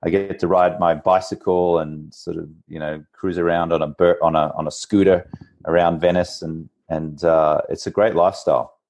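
A low-voiced Australian man in his forties talks as an interview guest. He is chatty and informal, telling personal stories in a conversational way. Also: fairly even intonation, moderate pace, darkish tone. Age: 40s. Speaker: podcast guest, entrepreneur. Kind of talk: casual interview storytelling.

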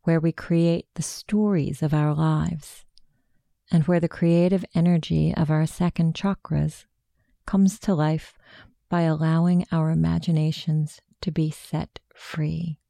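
Slow, very mellow woman guiding meditation. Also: low-pitched, gravelly, no echo, studio quality. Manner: slow, hushed, soothing instruction. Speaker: meditation guide. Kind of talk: guided meditation narration.

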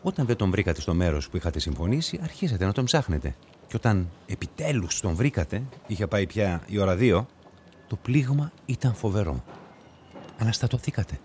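Radio play detective guy, lowish voice that moves around a lot, patient, explains step by step. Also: darkish tone, a little hiss or hum. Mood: placating. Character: male police investigator, patient, methodical, firmly reasoning. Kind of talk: radio drama acting.

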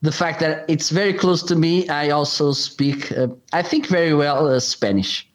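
A man's voice: on the high side, moderate pace. A man, an English speaker, telling his story as a podcast guest, hesitant, with frequent fillers and repeats. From Portugal. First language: Portuguese. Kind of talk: interview storytelling.

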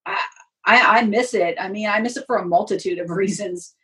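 A woman's voice: mid-range voice, fairly quick, a bit bright. A woman speaking candidly and emphatically as a guest in a casual interview; she works as a sports announcer. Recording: voice distant from the mic.

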